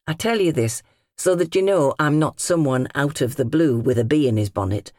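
A woman's voice: low voice, a little mellow, dry recording, clean studio sound. A British woman narrator voicing an earnest, formal young working man reading out his polite letter in an audiobook. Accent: British English.

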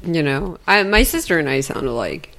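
A woman's voice: sits low, very animated, moderate pace, fairly bright tone. A woman co-hosting a casual movie podcast, chatty and giggly, often trailing off and starting over. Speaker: podcast co-host.

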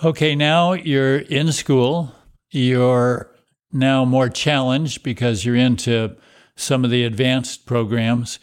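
A man hosts a podcast interview in a measured, guiding way. His voice sits in the middle range for a man. He moves the conversation along with open questions. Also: medium pitch, steady tone, smooth. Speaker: podcast host.

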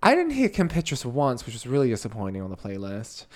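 Man, from Tonga, mid-pitched voice, campy and playful, casual podcast co-host. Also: wildly swooping pitch, fast.

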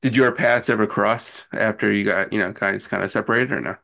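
A man's voice: on the low side, steady tone, a little fast, vocal fry, sharp tone. A man hosting an interview, asking questions and reacting in a casual, conversational way. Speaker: interview host.

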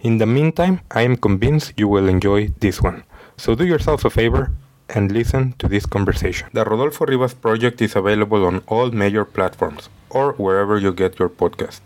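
This is a man who hosts his own interview podcast. He reads the introduction from a script, tripping over a word here and there and starting it again. His voice sits on the low side. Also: brisk, darkish tone. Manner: reads with small stumbles and restarts. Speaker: podcast host.

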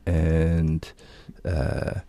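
A man's voice: very deep voice, monotone, very slow. A man hosting a community radio show, chatting casually with his guest in a studio. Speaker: radio host.

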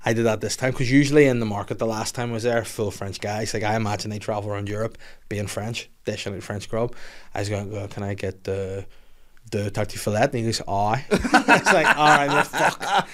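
A young man with a Northern Irish accent, co-hosting a casual podcast chat, sweary and quick to laugh as he tells stories. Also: lowish voice, fairly bright tone. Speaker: podcast co-host.

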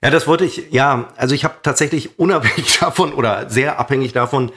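A man's voice: mid-range voice, fairly quick, a bit bright, slightly rough. A middle-aged man with a cold and a slightly nasal voice, co-hosting a podcast, rambling defensively with lots of fillers. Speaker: podcast co-host. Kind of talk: casual podcast banter.

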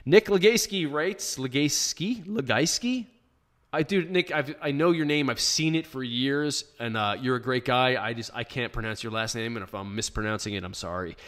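A man hosting a live podcast, answering listener questions in a plainspoken, chatty way with plenty of ums. His voice is hoarse from shouting. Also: medium pitch, moderate pace. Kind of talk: casual live podcast chat. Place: small home studio.

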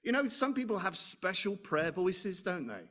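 A man preaching a sermon, loud and animated, chatting with asides and rhetorical questions. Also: sits high, moderate pace.

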